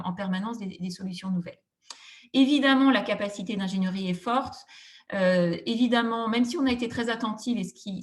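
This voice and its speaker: A woman giving a formal, explanatory webinar presentation as a consultant, analytical and wordy, with very frequent filled pauses and repeated words. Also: on the high side. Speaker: housing policy consultant presenting a study.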